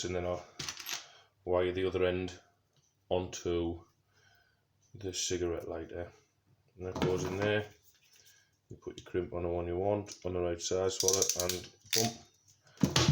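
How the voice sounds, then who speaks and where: A man giving a casual hands-on DIY tutorial, talking through each step and thinking aloud as he works. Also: on the low side. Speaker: presenter of a DIY car project video. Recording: noisy amateur recording with clicks.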